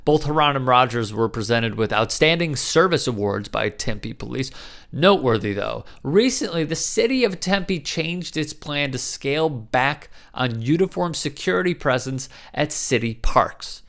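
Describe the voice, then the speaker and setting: A male sports news host reads the story from his script in a steady, measured newsreader voice. His pitch sits in the middle range for a man. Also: gravelly. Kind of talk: news commentary read from script.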